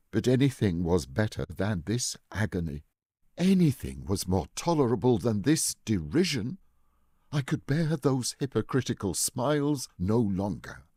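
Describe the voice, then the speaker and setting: A man playing a mad, cunning murderer who tells his own gothic tale in a theatrical, deliberate storytelling manner. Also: mid-range voice, warm tone, no echo, clean studio sound, voice pushed up. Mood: frantic, tormented. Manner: theatrical, deliberate, emphatic phrasing. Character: mad murderer narrator, smug, cunning, unravelling into frenzy. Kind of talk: dramatic gothic audiobook storytelling.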